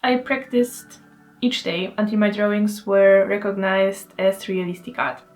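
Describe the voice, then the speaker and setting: A woman's voice: mid-range voice, flat delivery, unhurried, a little mellow, faint music behind. A woman in her twenties from Poland with a Polish accent, narrating her story as an artist in a candid, self-deprecating way. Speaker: artist vlogger. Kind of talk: scripted voice-over narration.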